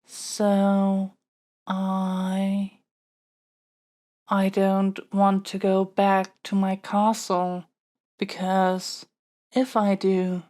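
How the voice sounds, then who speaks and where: A woman's voice: medium pitch, dark tone. A woman playing an earnest, flustered young princess in an intimate audio roleplay, stammering and hesitating as she pleads. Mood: pleading. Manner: halting, hesitant, stammering delivery. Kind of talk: audio roleplay acting, intimate monologue to the listener.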